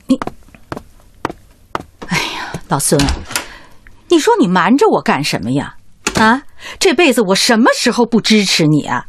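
Worried older wife, slow and emotional. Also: female voice, mid-range voice, wildly swooping pitch, noisy background. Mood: worried, reproachful. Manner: emotional, chiding yet tender. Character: worried, supportive older wife of a senior doctor. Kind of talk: Chinese radio drama acting. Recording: music bed behind the voice.

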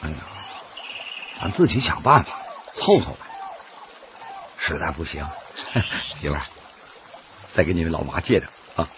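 An actor playing an earnest, stubborn, cheerful laid-off worker in a radio drama, coaxing and folksy, with a Northeastern Chinese accent. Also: male voice, rumbling low, big pitch swings, a little slow, long pauses, heavily acted. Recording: dull lo-fi audio, loud background noise.

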